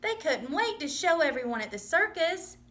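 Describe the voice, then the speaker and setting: A woman reading a children's story aloud in an expressive, dramatic storytelling manner. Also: very high voice, moderate pace. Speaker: storybook reader.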